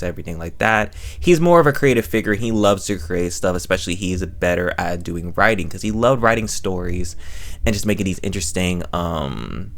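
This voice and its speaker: Man, low voice, casual review commentary, rambling, repetitive, self-correcting, slangy. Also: fairly bright tone. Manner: slangy, informal. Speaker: review channel host.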